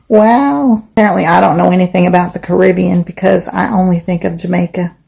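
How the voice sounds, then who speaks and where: A woman with a low, gravelly voice shares blunt opinions in a casual social media video. Her tone is dark and relaxed while she teases her critics. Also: moderate pace. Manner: bold, opinionated, teasing. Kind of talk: casual commentary.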